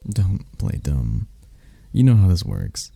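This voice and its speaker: A man playing a suave, arrogant demon prince of lust in an intimate ASMR roleplay, with a gravelly, breathy voice and a smooth, taunting manner. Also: low voice, huge pitch swings, mellow, no echo, full character voice. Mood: condescending, sultry. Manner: smooth-talking, taunting, unhurried, dropping into whispers. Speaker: voice actor.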